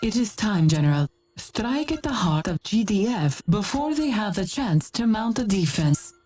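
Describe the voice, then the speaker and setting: A woman's voice: medium pitch, sing-song, normal speed, a little mellow, soft background music. Imperious woman commander with sweeping intonation. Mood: resolute. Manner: commanding, dramatic. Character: imperious female dynasty commander issuing battle orders. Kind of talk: video game mission briefing.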